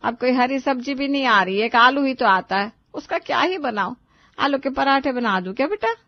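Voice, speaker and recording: Weary middle-aged mother played by a female narrator, cartoon family story. Placating, plaintive, slightly high voice. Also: expressive, moderate pace, no echo, muffled recording. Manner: coaxing, plaintive.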